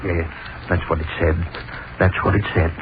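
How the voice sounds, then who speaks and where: A cruel, money-hungry executioner, as a male actor plays him, talking in a very deep, low voice that gloats and broods by turns. The sound is a thin, phone-narrow 1930s radio broadcast with faint hiss beneath it. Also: flat delivery, moderate pace, close to an everyday voice. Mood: anxious. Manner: gloating, brooding, lingers on words. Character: obsessive middle-aged male hangman, gloating and cruel. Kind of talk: 1930s radio drama acting, first-person monologue.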